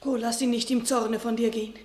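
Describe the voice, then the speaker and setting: A woman's voice: fairly high pitch, little pitch movement, a little fast, a little mellow, lightly acted. An actress playing an anxious young noblewoman in a classic radio play, speaking in an urgent, pleading way. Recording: roomy studio radio recording.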